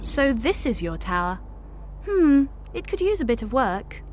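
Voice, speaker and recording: A woman's voice: a little high, big pitch swings, a little slow, noisy background. Prim noblewoman. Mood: haughty. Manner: genteel, chirpy, condescending. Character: prim, fussy noblewoman, house-proud and bossy. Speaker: game character.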